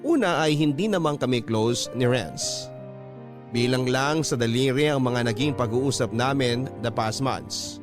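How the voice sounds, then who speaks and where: A man's voice: mid-range voice, a little slow. A man reading a first-person story as a radio drama narrator. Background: background music.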